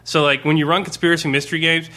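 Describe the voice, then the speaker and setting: Bright-voiced man chatting about gaming. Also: a little high, little pitch movement, racing. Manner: sweary, informal, explanatory. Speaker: tabletop game master and podcast guest discussing his campaign. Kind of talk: casual chat.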